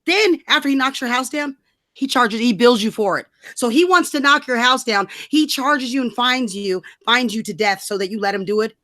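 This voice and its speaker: A woman's voice: a little high, normal speed, fairly bright tone, dry recording, studio quality. A woman ranting casually about politics in a blunt, emphatic, sweary way, as a co-host.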